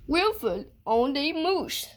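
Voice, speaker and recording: Woman, high pitch, very slow pace, picture-book read-aloud, short phrases with pauses. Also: big pitch swings. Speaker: storybook reader.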